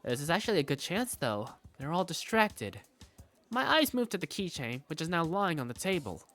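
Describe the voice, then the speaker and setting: A narrator reading first-person scripted visual novel story text in an expressive storytelling manner. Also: mid-range voice, normal speed, soft background music. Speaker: narrator and lead character.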